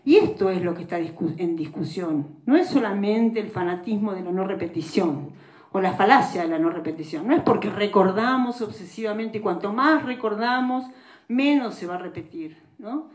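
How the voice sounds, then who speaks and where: A woman's voice: medium pitch, expressive, moderate pace, darkish tone. Woman lecturing in an echoey hall. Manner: reflective, frequent tag questions. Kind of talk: academic public lecture.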